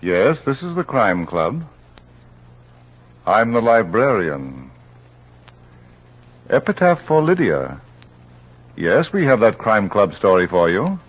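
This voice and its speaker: A low, theatrical mystery host. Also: male voice, very animated, takes it slowly, long pauses, exaggerated voice. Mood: courteous, ominous. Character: suave, eerie male crime club librarian host. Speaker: host and narrator of mystery anthology. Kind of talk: 1940s radio acting, dramatic narration. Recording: muffled, noisy old radio recording.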